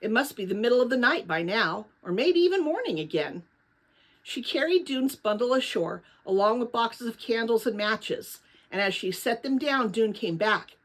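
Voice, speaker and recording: A woman reading a children's novel aloud as narrator, with dramatic, expressive storytelling. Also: fairly high pitch, normal speed, low-quality recording.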